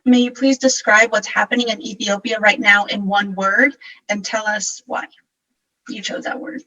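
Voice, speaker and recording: A woman's voice: mid-range voice, steady tone, moderate pace, pushed and bright, recorded from a distance, poor audio. A woman moderating a panel discussion, politely putting a question to the next guest.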